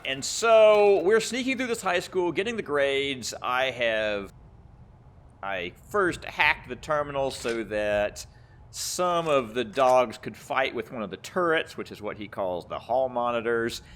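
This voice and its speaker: A man's voice: medium pitch, lively intonation, measured pace, fairly bright tone. A man giving casual, explanatory commentary as he plays a game, rambling a little as he thinks aloud. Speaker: gamer, let's play host.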